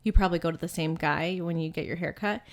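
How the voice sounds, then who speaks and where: A woman's voice: fairly low pitch, fast. A woman in her twenties co-hosting a podcast, chatting casually and saying "like" often. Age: 20s. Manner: chatty, fills speech with "like". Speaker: podcast co-host. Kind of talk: casual chat.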